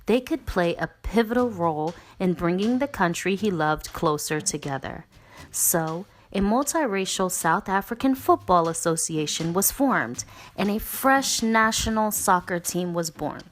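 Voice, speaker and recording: A woman narrating a children's book as a read-aloud, in an expressive, deliberate way. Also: lowish voice, a little hiss or hum.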